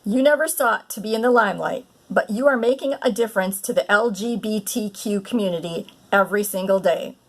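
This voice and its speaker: Woman, mid pitch, calm measured letter read. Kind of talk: reading a personal letter aloud.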